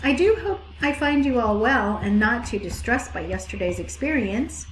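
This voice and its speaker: A gentle, earnest young piglet, as a woman reading aloud puts him on, sweetly formal and careful with every request. His voice sits well above the reader's own, and the room adds echo. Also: a little high, lively intonation, moderate pace, slight background noise, pitched above the performer's own voice, close to an everyday voice. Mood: polite. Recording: echoey room.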